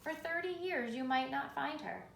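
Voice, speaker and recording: A woman with a medium-pitched, noticeably creaky voice reads a children's story aloud. Her delivery is measured and expressive, with dramatic pauses. The room adds clear echo, and there is faint noise behind her. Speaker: reader. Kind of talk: children's picture book read aloud.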